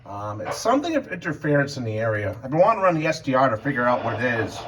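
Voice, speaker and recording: A man's voice: medium pitch, very animated, fast talker, fairly bright tone, poor audio. A man giving a casual walkthrough of his equipment, rambling and hesitant as he thinks aloud, recorded indoors at home. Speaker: emergency medical worker and radio hobbyist. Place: indoors in a house. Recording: home recording with faint background noise.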